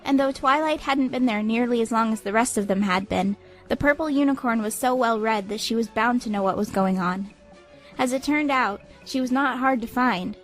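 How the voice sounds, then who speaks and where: Woman narrating a dramatic story, a bit high pitched, music playing under. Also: rough recording. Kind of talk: scripted dramatic audiobook narration.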